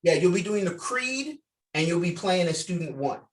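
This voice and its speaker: A man with a voice a little higher than most leads a script read-through. He hands out instructions and reads stage directions aloud as the one in charge. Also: sing-song, moderate pace, distant mic.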